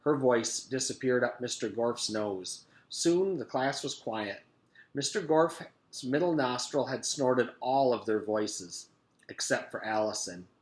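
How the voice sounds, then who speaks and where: A man, a teacher, reading a children's book aloud and pausing to explain it to his pupils. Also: mid-range voice, roomy sound, low-quality recording. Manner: expressive, pauses to explain to pupils.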